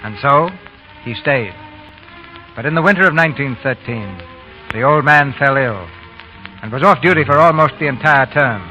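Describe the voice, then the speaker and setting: Man, 1930s radio host, formal, declamatory, very sharp forceful tone, faint music, vintage broadcast. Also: medium pitch, expressive, normal speed, pauses often, low-quality recording. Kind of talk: formal scripted 1930s radio hosting and narration.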